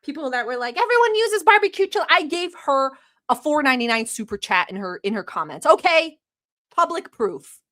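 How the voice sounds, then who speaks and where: A woman's voice: sits high, sing-song, brisk, pushed and bright. A young woman born in Turkey, Turkish her first language, hosting a casual livestream, chatty and teasing, laughing often and swearing casually. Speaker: livestream host. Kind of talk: casual livestream chat reacting to a video.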